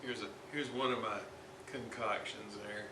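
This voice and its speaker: Guy showing a repair at his bench, talks slow and stop-start, roomy noisy homemade recording. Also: fairly low pitch, steady tone. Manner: halting, thinking aloud with long pauses. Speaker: hobbyist radio restorer presenting his repair. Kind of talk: informal hands-on repair demonstration. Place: indoors at a repair workbench.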